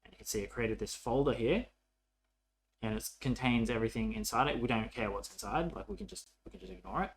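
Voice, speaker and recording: A man's voice: medium pitch, expressive, quick pace, slight background noise. A man narrating a casual coding tutorial as an instructor, informal and thinking aloud as he works.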